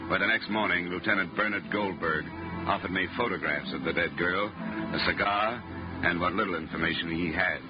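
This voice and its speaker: Low-voiced American radio detective, played by a man, music behind. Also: moderate pace, lightly acted. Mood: dry, businesslike. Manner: clipped, dry, hardboiled. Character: wry, dogged male insurance investigator. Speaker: lead actor and narrator of a radio detective show. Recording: muffled vintage radio recording, music.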